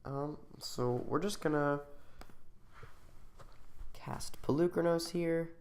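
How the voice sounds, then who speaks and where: A man's voice: on the high side, takes it slowly, a little mellow, slight background noise. A man talking casually through his own card game turn by turn, thinking aloud with ums and restarts. He is getting over a cold and sounds a little sniffly. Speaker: channel host.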